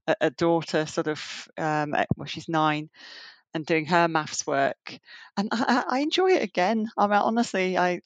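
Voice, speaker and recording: A middle-aged woman answers interview questions in a chatty, rambling way, full of fillers and restarts. Her voice sits on the low side. The recording is dry and close. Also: no room sound. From Yorkshire, England. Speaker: guest, banking executive. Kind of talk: remote interview conversation.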